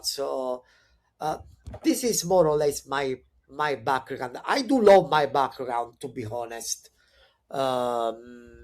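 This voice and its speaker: A man in his forties with an Italian accent, talking as a podcast guest in a relaxed, conversational way. His pitch sits in an ordinary middle range while he fills his sentences with hesitations and restarts, and he brightens up when excited. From Italy. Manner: hesitant, many fillers and restarts; upbeat and animated when excited. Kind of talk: conversational interview storytelling.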